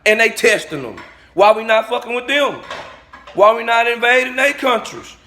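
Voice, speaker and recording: A man's voice: very high voice, expressive, normal speed, sharp tone, slight background noise. A man delivering a blunt, profane, preachy rant straight at his viewers in a vlog. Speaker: vlogger. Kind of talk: ranting monologue to camera.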